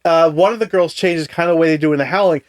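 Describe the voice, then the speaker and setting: A man's voice: a little high, fast talker, dry recording. A man co-hosting a podcast, casually sharing film details with friends, often restarting his phrases. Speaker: podcast co-host.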